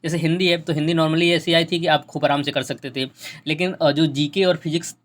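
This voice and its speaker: A young man talks very quickly in a slightly high voice. He explains things informally, like a tutor to students, repeating phrases for emphasis and reassuring as he goes. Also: steady tone. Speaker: exam preparation YouTuber. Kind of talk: informal explainer addressed to exam candidates.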